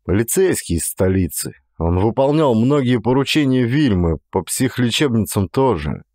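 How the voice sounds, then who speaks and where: A man's voice: mid-range voice, big pitch swings, normal speed, darkish tone, no echo, clean studio sound, close to an everyday voice. A man playing a blunt but loyal police detective in an audiobook thriller, speaking in a terse, matter-of-fact way. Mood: frustrated, grave.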